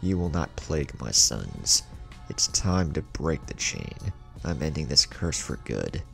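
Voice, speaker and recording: A man voicing a powerful young ninja father in a story, soft-spoken and grave, tender yet resolute. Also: very deep voice, very animated, a little slow, gravelly, heavily acted. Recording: music under the voice.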